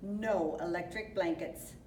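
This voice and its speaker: A woman voicing a stubborn, indignant male farmer in a storybook, with emphatic, drawn-out delivery. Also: fairly low pitch, mellow, lightly acted. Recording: roomy echo, distant mic, faint noise.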